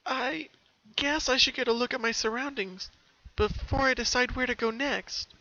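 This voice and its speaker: A man voicing an anxious young female cartoon pony in a high falsetto, fretfully talking to herself. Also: normal speed, pushed and bright, no room sound, exaggerated voice. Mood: nervous resolve. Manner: fretful self-talk with repeated words. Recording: dull lo-fi home recording.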